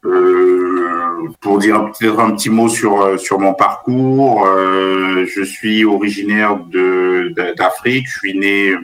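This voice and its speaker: A middle-aged man from Benin with a very low voice, talking as an interview guest. He thinks aloud, stalls on fillers and restarts his sentences, settling into a careful account of his own path. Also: steady tone, slow, a bit bright, low-quality recording. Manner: hesitant, many fillers and restarts. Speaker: guest, business school professor. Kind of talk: interview conversation.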